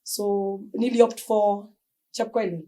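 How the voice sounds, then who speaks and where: Young kenyan woman chatting on a podcast, warm low-key tone, mixes slang in. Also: slow pace, low-quality recording. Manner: relaxed, mixes Swahili slang and English. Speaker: podcast guest. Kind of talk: casual interview chat.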